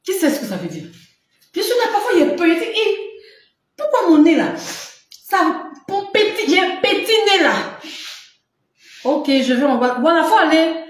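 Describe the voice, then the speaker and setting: A woman's voice: very high voice, sing-song, unhurried, long pauses. Chatty woman in a very echoey room. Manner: chatty, laughs often, answers viewers' comments. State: runny nose. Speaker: live-stream seller. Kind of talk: casual live-stream chat. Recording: very echoey room with faint noise.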